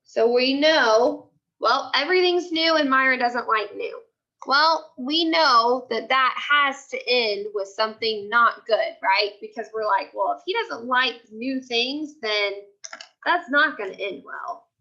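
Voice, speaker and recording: A woman teaching a class, asking questions and repeating questions and answers slowly for her pupils. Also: fairly high pitch, pushed and bright, lo-fi sound. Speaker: teacher leading a class read-aloud.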